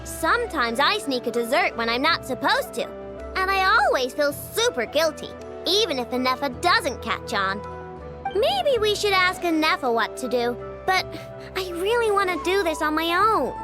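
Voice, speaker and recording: A woman's voice: very high-pitched, big pitch swings, moderate pace, music in the background. A woman voicing a blunt, impulsive young inventor girl in a video game, childlike in manner. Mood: uneasy, earnest.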